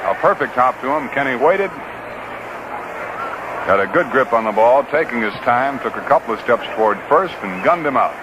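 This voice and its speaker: Man, American accent, 1960s radio baseball commentary, folksy, clipped phrases, medium pitch, clearly noisy old broadcast. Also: expressive, moderate pace, lo-fi sound. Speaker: baseball play-by-play announcer. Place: outdoor ballpark broadcast booth.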